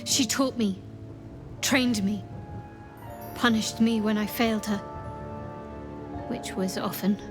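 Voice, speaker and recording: A woman playing a guarded, secretive young cleric of a dark goddess in a video game, speaking in a measured, reserved, wry way. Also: medium pitch, fairly even intonation, lightly acted. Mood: wistful. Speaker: video game companion character. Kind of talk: video game cutscene acting. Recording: music behind the voice.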